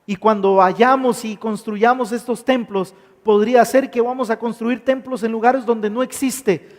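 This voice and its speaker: High-voiced preacher in echoing hall. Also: male voice, fairly even intonation, a little slow. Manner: emphatic, repeats phrases in lists. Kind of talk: sermon. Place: church hall. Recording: long hall reverb.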